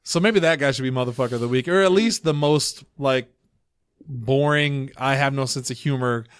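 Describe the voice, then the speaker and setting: A man's voice: medium pitch, moderate pace, clear, resonant tone, dry recording, clean studio sound. Joking sarcastic male podcast host. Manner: joking, sarcastic, laughs often. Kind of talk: casual podcast chat.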